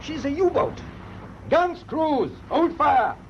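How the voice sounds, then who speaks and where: An actor playing a commanding, watchful German sea captain in a radio drama. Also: male voice, extremely high pitch, animated, slow pace, full character voice. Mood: shouting orders. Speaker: ship's captain. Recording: muffled, noisy radio drama recording.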